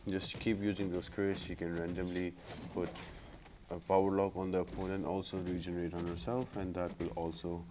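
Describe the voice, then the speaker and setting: Low-pitched man narrating a game guide, noisy recording. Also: little pitch movement, a little fast. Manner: instructional, addresses viewers as guys. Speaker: gaming video host. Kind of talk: unscripted game guide commentary.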